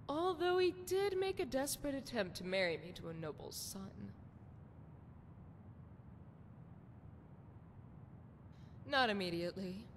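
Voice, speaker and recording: A woman playing a seductive, flirtatious priestess of a love goddess in a video game, with sultry, poetic, theatrical phrasing. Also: natural voice. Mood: matter-of-fact. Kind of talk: scripted video game character dialogue. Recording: long hall reverb, noisy rumbling background.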